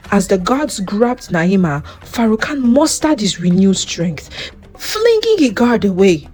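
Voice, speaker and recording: Female storyteller, dramatic scripted folktale read, lively pitch swings, music underneath. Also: breathy edge. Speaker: narrator, storyteller. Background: background music.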